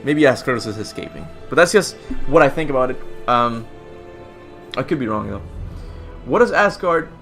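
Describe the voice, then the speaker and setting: Young man, from Cuba, gaming host, casual Q&A, rambling, self-deprecating, mid pitch, faint background music. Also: animated, moderate pace, a bit bright. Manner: rambling, self-deprecating, laughs at own slips. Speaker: gaming channel host answering viewer questions. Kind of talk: casual livestream Q&A chat.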